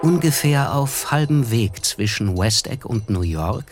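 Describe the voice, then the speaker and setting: An actor playing a reflective, wryly observant gentleman who narrates a literary radio play in a measured way. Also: mid-range voice, animated, lightly acted. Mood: somber, observant. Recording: music under the voice. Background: music.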